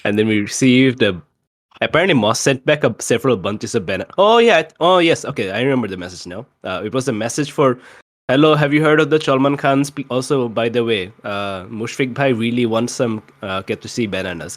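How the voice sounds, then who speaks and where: A man casually recapping a tabletop roleplay campaign as one of its players, in a halting way that circles back to rephrase. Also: mid-range voice.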